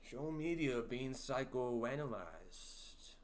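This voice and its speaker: A young man hosting a casual livestream, reading chat aloud and joking around, with a deep voice. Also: lively intonation, slow pace. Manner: playful, jokey, sings snippets and reads chat aloud. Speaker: livestream host.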